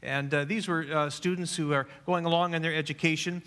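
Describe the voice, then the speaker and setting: A bright, forceful man with a rather high voice, speaking earnestly to a congregation. He often stumbles and restarts his words. His voice rings with the long reverb of a church hall. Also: steady tone, brisk. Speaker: pastor. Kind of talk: church service introduction.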